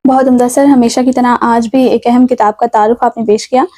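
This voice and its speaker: A woman's voice: on the high side, fairly even intonation, dark tone. A woman with a Pakistani accent hosting a talk show, addressing guest and viewers in a courteous, formal way.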